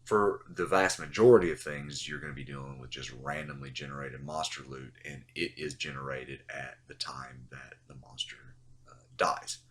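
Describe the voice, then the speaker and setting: A man's voice: extremely low pitch, moderate pace. A man with a stuffy voice from getting over the flu, explaining a game mechanic in a loose, rambling voice-over full of fillers. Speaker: game guide host.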